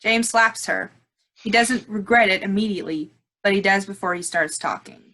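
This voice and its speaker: A woman's voice: medium pitch, normal speed, bright, forceful tone, rough recording. A woman reading stage directions as scripted narration, with slight noise in the recording.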